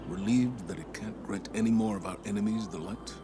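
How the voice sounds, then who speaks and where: An actor playing a weary, solemn, middle-aged military commander in a video game, speaking in a grave, measured, oratorical way. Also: male voice, lowish voice, fairly even intonation, background noise, natural voice. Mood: grieving, bitter. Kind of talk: dramatic game cutscene monologue.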